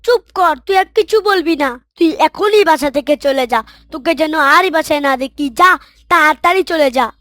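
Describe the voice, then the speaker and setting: Woman doing snobby spoiled cartoon boy, really high voice, bossy and whiny, dry sound. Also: normal speed, sharp tone, no room sound. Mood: angry. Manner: bossy, petulant. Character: spoiled, haughty young boy scolding the housemaid. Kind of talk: Islamic moral cartoon voice acting.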